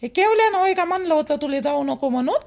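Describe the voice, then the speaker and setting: A woman's voice: high-pitched, lively intonation, quick pace, creaky, a little mellow. A woman voicing a middle-aged, protective, gossipy mother-in-law in a village cartoon, emphatic and repetitive, with a Vidarbha Marathi accent. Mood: surprised, probing. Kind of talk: rural family cartoon dialogue.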